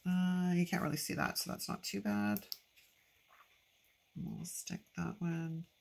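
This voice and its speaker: A woman's voice: lowish voice, steady tone, a little slow, vocal fry. A woman with a stuffy, congested nose from allergies, chatting casually to herself and her viewers while she decorates a planner at a craft table. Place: at a craft table, filmed from overhead. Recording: noticeable background noise.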